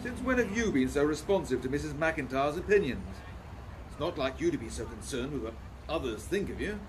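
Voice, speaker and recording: An actor playing a proper, protective Victorian gentleman professor in a radio play, speaking formally and earnestly. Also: a little high, sing-song, brisk, a little hiss or hum. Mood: reassuring. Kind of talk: steampunk radio play acting.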